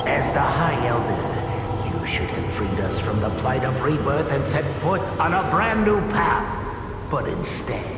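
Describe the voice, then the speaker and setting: An actor playing a proud, accusing old male elder spirit in a fantasy game, speaking in a grandiose, dramatic way. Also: fairly high pitch. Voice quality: aged. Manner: slow, grandiose, dramatic declaiming. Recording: cavernous echoing reverb over music.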